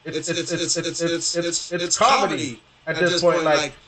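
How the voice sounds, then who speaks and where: A man's voice: fairly high pitch, little pitch movement, normal speed, a crowd in the background. A young man from Houston, Texas, telling a long story in rambling, profane casual talk full of fillers and restarts. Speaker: gaming streamer. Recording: very echoey room, distant from mic.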